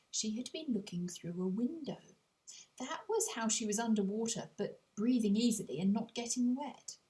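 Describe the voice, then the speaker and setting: Expressive woman storyteller, high lively voice. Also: rough recording. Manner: expressive, storytelling delivery with dramatic pauses. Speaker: author reading their own audiobook. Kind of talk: scripted narration of a whimsical children's story.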